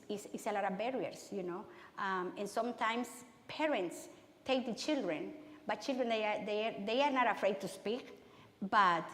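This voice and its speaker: A woman with a Spanish accent speaks to a church audience in a conversational, halting way and often starts her sentences again. Her voice sits at a middle pitch. The hall around her echoes, and the reverb rings on after each phrase. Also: fairly bright tone. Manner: conversational, halting, many restarts. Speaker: guest speaker.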